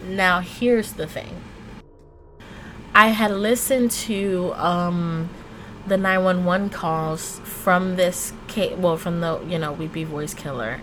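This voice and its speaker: A woman hosting a true crime podcast, switching between scripted storytelling and slangy, informal, animated asides. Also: lowish voice, slow pace, background noise.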